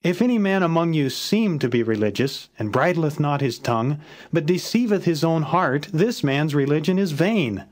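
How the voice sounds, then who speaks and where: A man reading scripture as a non-dramatized audiobook, with measured, solemn and formal phrasing. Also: a little high, expressive. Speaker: Bible reader, narrator.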